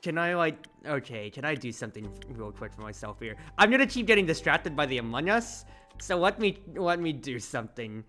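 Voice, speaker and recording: A game streamer with a bright, forward voice talks over soft music. The delivery swings widely in pitch, with theatrical reading of on-screen text and exclamatory casual commentary. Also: very animated, a little fast. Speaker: streamer, host.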